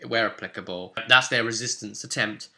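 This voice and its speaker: Bright, forceful man explaining game rules. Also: fairly low pitch, normal speed, vocal fry. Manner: chatty, conversational, emphatic, adds tag questions. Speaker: tabletop game guide presenter. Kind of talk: casual explanatory tutorial.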